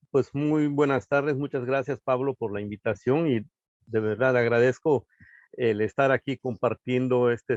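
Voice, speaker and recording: A man speaking in a measured, formal way as a panelist in an online talk. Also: mid-range voice, darkish tone, no room sound, dull audio. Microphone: video call.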